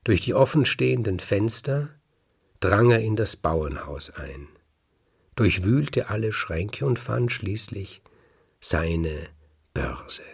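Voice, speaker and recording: Low, gravelly, unhurried male storyteller. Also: sing-song. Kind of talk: storytelling, narrated folk tale.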